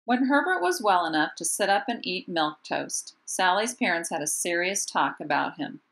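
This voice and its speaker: A woman reading a children's picture book aloud as a teacher would, with deliberate emphasis on key words. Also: fairly low pitch, animated, fairly bright tone. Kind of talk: children's storybook read-aloud. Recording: voice over background music. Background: instrumental music.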